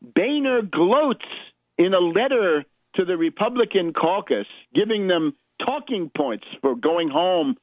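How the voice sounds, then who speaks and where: A man hosting a political radio show, giving opinionated commentary in a halting, emphatic manner with sardonic asides. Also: high-pitched, animated. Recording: dull, lo-fi radio recording.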